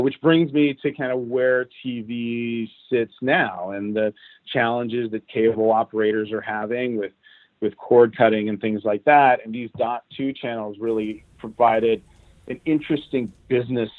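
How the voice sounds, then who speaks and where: A man from Cuba, a guest in an interview, telling how his career went in an animated, casual way. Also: on the low side, no echo. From Cuban. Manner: animated, recounts events at length. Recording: tinny phone-like line, dry.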